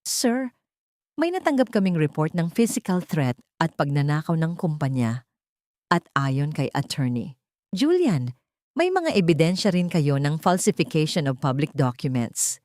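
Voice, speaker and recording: A formal policeman in an audio drama, acted by a woman. He speaks firmly and officially, with lively intonation, recorded dry. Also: low voice, unhurried, no room sound. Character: formal, firm policeman making an arrest.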